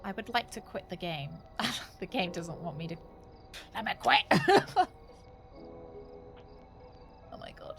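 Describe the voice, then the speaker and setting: A woman's voice: medium pitch, very animated, takes it slowly, long pauses, a bit bright, faint music behind. A woman chatting casually as she streams a game, thinking aloud with wry, joking asides. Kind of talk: casual live gaming commentary.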